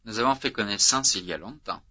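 A man's voice: on the low side, expressive, sharp tone. Man reading drills on dull tape. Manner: slow, deliberate, clearly articulated. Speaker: language course instructor.

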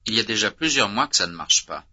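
A man reading out language drill prompts as an instructor, slow and clearly articulated. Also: fairly low pitch, animated, sharp tone. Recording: dull lo-fi tape recording.

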